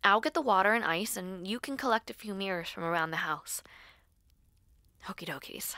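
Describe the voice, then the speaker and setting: A woman acting an earnest, nervous school-age girl who dabbles in spells, speaking haltingly and intimately to the listener in an audio roleplay. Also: medium pitch, expressive, no echo, studio quality. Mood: busy, casual. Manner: hesitant, halting, soft-spoken asides.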